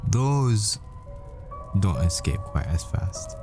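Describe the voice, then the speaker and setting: A man as a deep-voiced, yearning boyfriend. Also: big pitch swings, music in the background, exaggerated voice. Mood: intimate, confessional. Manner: tender, halting, confessional. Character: devoted, yearning boyfriend confessing intimately to his lover. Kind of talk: intimate boyfriend audio roleplay.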